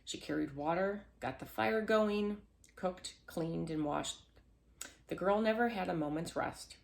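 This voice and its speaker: Female storyteller, lowish creaky voice, children's fairy tale read-aloud with small slips. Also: measured pace. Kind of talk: scripted children's storybook narration.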